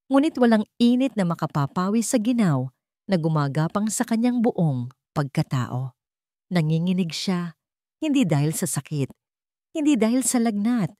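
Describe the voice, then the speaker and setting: A woman with a Filipino accent narrates a romantic drama in a low voice. Her delivery is measured and theatrical, broken into short phrases, and the recording is dry and close. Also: warm tone, no room sound. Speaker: storyteller. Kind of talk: scripted dramatic narration of a Tagalog love story.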